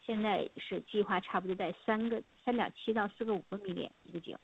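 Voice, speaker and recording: A woman's voice: mid-range voice, fast, vocal fry, breathy edge. A woman with a Chinese accent, a native Mandarin speaker, answering questions and interpreting as a company representative on a conference call. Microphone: telephone. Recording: dull lo-fi conference phone call.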